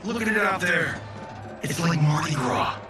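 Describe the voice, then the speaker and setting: An actor voicing a cocky, wisecracking young fighter in a video game, glib and sarcastic. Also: male voice, a little high, lively intonation, measured pace, over music. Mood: joking. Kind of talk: cinematic video game acting.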